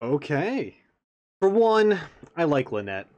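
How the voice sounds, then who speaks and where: A man's voice: on the high side, big pitch swings, slow, darkish tone. A man hosting a reaction video, speaking casually in rambling, enthusiastic analysis.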